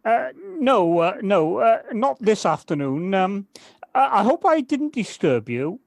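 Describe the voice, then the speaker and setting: An actor playing a kindly, modest gardener in an audio drama, hesitant and polite with slight stammers. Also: male voice, high-pitched, big pitch swings, unhurried, gravelly, no echo, clean studio sound, full character voice. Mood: polite, flustered. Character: kindly, hesitant village gardener, Alan, modest and nature-loving. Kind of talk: amateur audio drama acting.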